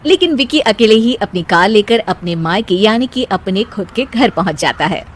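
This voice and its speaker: A woman narrating a cartoon moral story in a clear, even storytelling voice. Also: medium pitch, moderate pace.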